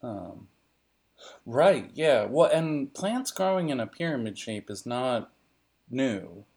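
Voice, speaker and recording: A man's voice: mid-range voice, big pitch swings, slow pace, darkish tone, husky. A man talking casually as a game master, rambling through detailed, geeky descriptions. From California. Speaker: game master and narrator of an RPG podcast.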